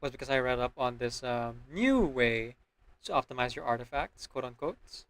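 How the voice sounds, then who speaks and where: A man's voice: medium pitch, expressive, moderate pace, fairly bright tone. A man giving casual, rambling gaming stream commentary, often checking in with "right?" as he explains. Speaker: video game livestreamer. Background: background music.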